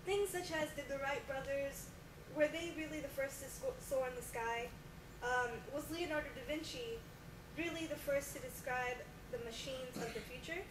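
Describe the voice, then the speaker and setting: A woman as the guest on a mock talk show interview, speaking hesitantly with many fillers. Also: sits high. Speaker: guest scientist. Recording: distant echoey room with loud noise.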